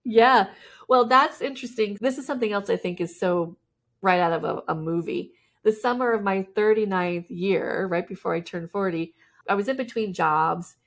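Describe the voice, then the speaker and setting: A woman's voice: mid-range voice, normal speed, creaky. A woman guest candidly telling her life story in a casual interview, often laughing as she talks.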